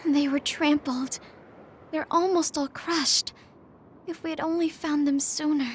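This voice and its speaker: High-voiced, quiet young anime heroine. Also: female voice, fairly even intonation, measured pace, a little hiss or hum. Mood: subdued worry. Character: quiet, gentle, serious young woman with clairvoyance. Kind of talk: English video game dub, anime style.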